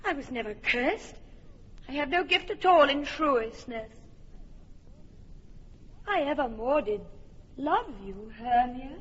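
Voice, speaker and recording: A woman's voice: sits high, animated, slow pace, long pauses, fairly bright tone, big-room sound, dull audio, full character voice. An actress playing Helena, a jilted young Athenian woman, speaking impassioned verse in a radio drama. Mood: pleading. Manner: impassioned verse, fierce and wounded. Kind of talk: classic stage radio drama.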